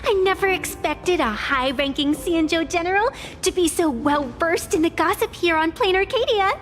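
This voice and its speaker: A woman's voice: very high-pitched, normal speed, slight background noise. A woman voicing a spirited, proud young female arbiter in an anime-style game, with a theatrical delivery over music. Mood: proud, lively. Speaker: game character voice actor.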